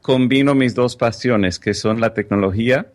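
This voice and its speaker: A man's voice: mid-range voice, normal speed. A man from the United States whose first language is English, a tech journalist speaking as an interview guest in an articulate, precise way, keen on explaining word usage. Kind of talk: podcast interview.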